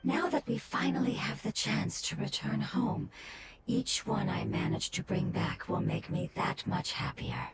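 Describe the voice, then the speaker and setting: A woman voicing a gentle, wistful nature spirit in an anime game, breathy and whispery, speaking softly and solemnly. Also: fairly high pitch, measured pace, heavy background noise. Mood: tender, resigned. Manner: soft, solemn, slightly formal.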